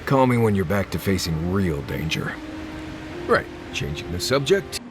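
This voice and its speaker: A man's voice: sits low, very animated, unhurried. A man playing a wry, self-preserving adventuring companion in a video game, talking in an offhand, casual way. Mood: dismissive, impatient. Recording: clear ambient noise behind.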